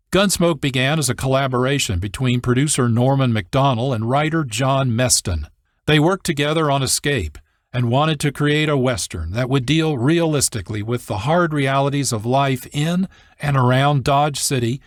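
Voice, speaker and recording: Measured male narrator, dry studio sound. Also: mid-range voice, no echo. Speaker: host and narrator. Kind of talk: scripted documentary narration.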